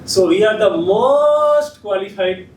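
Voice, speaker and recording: A man acts out a centuries-old male ghost with a very high, lively voice and a slow, drawn-out delivery. He is playfully self-mocking. The sound is distant and echoey, with stage noise behind him. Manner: playful, self-mocking about his accent. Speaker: improv performer. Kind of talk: improv comedy. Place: theatre stage. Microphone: distant room mic.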